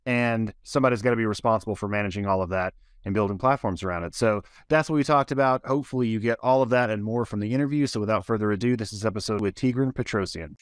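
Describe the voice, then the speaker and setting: A man's voice: on the low side, fast, hardly any pauses, clean tone. A man hosting a podcast, introducing the episode and his guest in an earnest, explanatory way, often repeating words as he starts a phrase. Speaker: podcast host.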